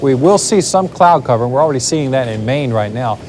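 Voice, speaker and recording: A man's voice: mid-range voice, lively intonation, a little mellow, rough recording. A man presenting a live television weather forecast in a loose, ad-libbed way, with the odd filler. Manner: brisk, loose delivery with fillers.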